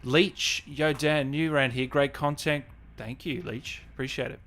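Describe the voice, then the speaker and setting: A man's voice: mid-range voice, fairly even intonation, normal speed, fairly bright tone. A man hosting a livestream in casual, chatty style, often repeating his phrases as he talks to viewers. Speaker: livestream host, gaming interviewer. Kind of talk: casual livestream chat, reading and answering comments.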